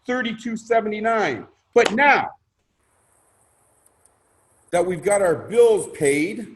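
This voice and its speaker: A man presenting a motivational sales pitch in an emphatic, theatrical way, repeating phrases and asking rhetorical questions. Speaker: presenter pitching a crowdfunding scheme.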